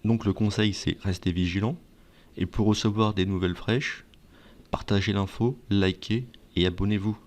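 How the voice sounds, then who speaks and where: A man with a fairly deep voice talks directly to his viewers as a presenter. He explains and advises in a conversational way, posing questions and then answering them himself. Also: fairly even intonation, normal speed. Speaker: video presenter giving advice. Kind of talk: explainer talk to viewers, semi-scripted.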